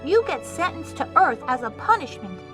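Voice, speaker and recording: An actress voicing an earnest, self-sacrificing young heroine in a dubbed animated film. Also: female voice, sits high, moderate pace, soft background music.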